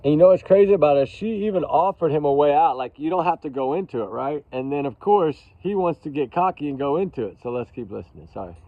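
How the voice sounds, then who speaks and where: A man's voice: a little high, expressive, fast talker, hardly any pauses, no room sound. A man chatting casually to his viewers as a host of live commentary, calling them y'all. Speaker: online true-crime commentator and host. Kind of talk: casual live commentary, reacting to played clips. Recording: dull lo-fi livestream audio.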